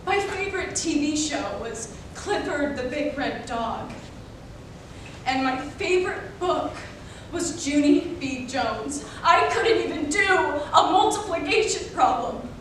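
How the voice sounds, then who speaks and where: A young woman performs a dramatic monologue with slow, emphatic phrasing. Her voice is high. She is picked up from far off in a very echoey room. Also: animated. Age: young adult. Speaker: college speech competitor. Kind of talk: dramatic readers theater monologue. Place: indoor performance room, recorded from afar. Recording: very echoey room, distant mic, noisy.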